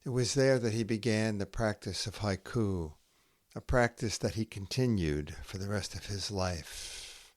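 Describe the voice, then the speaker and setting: A man hosting a poetry podcast, reading scripted lines in a measured, deliberate way with long pauses between short phrases. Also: lowish voice, dry recording.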